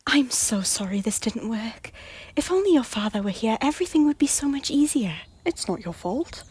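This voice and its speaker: A woman voicing a guilt-ridden former queen who pleads in breathy, often whispered lines. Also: fairly high pitch, sing-song, moderate pace, a little mellow, dry recording. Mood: pleading. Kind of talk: fan audio drama acting.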